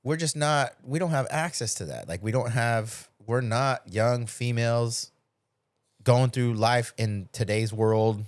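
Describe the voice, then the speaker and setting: A middle-aged man chats as a podcast host in a medium-pitched voice. He talks informally, recounting stories and slipping into quoted dialogue, with some swearing. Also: measured pace, dry recording, clean studio sound. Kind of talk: casual chat, storytelling.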